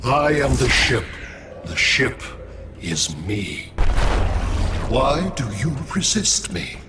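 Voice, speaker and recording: An actor playing a cold, superior alien machine intelligence in a video game, imperious and measured. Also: male voice, medium pitch, sing-song, heavy background noise. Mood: cold superiority. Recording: voice under loud game music.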